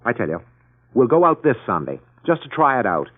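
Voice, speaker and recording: A man's voice: medium pitch, very animated, a little fast, narrow, tinny audio. An actor playing a wry, harried middle-aged American father, narrating and speaking dryly in an old-time radio drama. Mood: wry, determined. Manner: dry, wry. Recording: thin band-limited old radio recording, music behind.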